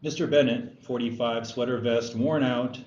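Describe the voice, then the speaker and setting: A man's voice: medium pitch, steady tone, normal speed, darkish tone. A man reading scripted stage directions as narrator at a screenplay table read. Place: roomy indoor table read. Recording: echoey room, dull sound, faint noise.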